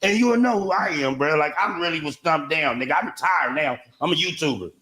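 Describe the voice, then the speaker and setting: A man's voice: on the high side, lively intonation, a little fast, pushed and bright. A man in a replayed livestream clip, defending himself in a boastful way. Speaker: YouTuber in an archive clip. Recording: roomy replayed stream audio.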